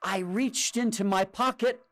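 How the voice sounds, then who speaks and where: A man reading a children's story aloud in a theatrical, expressive way with drawn-out pauses. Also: high voice. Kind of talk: dramatic children's storytime narration. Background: music and sound effects.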